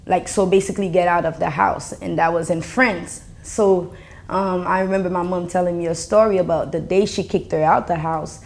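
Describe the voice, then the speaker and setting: A woman's voice: on the low side, fairly even intonation, moderate pace, gravelly, darkish tone. A young Haitian woman with a Haitian accent, talking earnestly as an interview guest in an indoor studio. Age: young adult. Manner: earnest, switching between English and Haitian Creole. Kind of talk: candid conversational interview.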